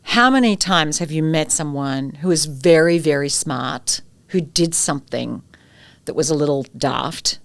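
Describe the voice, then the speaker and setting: A woman's voice: deep voice, unhurried, gravelly, no room sound. A middle-aged Australian woman, a guest expert, telling stories and asking rhetorical questions in a conversational interview. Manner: animated storyteller, rhetorical questions.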